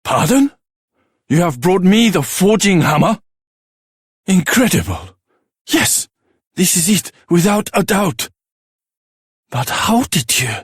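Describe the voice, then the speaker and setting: An actor playing an earnest, humble swordsmith and shopkeeper in a fantasy video game, speaking formally and reverently with theatrical weight. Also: male voice, sits high, big pitch swings, slow pace, pauses often, husky, no room sound, clean studio sound, exaggerated voice. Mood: amazed. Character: earnest, humble exiled swordsmith turned village shopkeeper, male.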